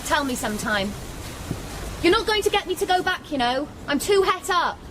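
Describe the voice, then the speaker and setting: A sulky British grown-up daughter in a surreal radio play. Her voice sits high and swoops about as she protests melodramatically, with clear ambient noise around her. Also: very animated, moderate pace. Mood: confiding. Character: petulant, sulky grown daughter, quick to argue. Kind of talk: surreal radio comedy drama acting.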